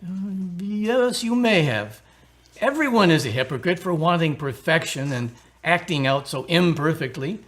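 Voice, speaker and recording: Man playing an earnest, kindly priest, higher-pitched voice. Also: expressive, normal speed, fairly bright tone. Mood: earnest preaching. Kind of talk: radio drama acting.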